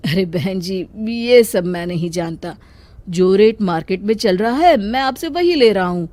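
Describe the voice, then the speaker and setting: A woman's voice: mid-range voice, lively intonation, unhurried, darkish tone, dry recording, lightly acted. A woman voicing a greedy, dishonest male village repairman in a storybook tale, brusque and dismissive. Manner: dismissive, hard-nosed, wheedling. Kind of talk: children's storybook acting.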